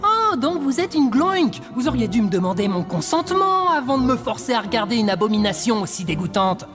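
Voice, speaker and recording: A mischievous male rabbit from a cartoon. His delivery is sarcastic and very animated, smugly needling, with clear music behind him. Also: extremely high pitch, brisk. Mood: mocking. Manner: sarcastic, mocking, nonchalant.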